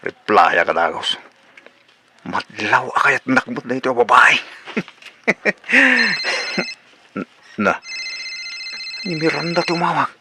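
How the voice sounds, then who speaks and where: Guy doing a nosy teasing young son in radio comedy, slow but lively, noisy background. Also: medium pitch, full character voice. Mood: irritated. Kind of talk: Ilocano radio comedy drama acting.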